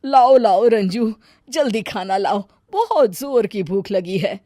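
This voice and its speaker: A woman's voice: medium pitch, animated, slow pace, warm tone, no room sound, clean studio sound. A woman playing an offended, bossy middle-aged mother-in-law in a Hindi family story, sarcastic and commanding.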